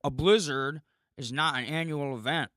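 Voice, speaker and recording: Guy doing a sarcastic scolding comment-thread arguer, high forceful voice, exaggerated comedy skit. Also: normal speed, no echo, clean studio sound, natural voice. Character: argumentative commenters squabbling on social media, comic skit. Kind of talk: comic dramatized reading of online comments.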